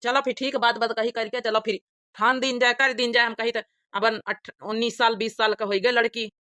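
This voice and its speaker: Cartoon farmer dad, voiced by a woman: Awadhi, fretting, high bright pitch, rustic, dry recording. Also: little pitch movement, moderate pace, no echo. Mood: worried. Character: worried, poor farmer, father of a grown daughter. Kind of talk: cartoon village comedy dialogue.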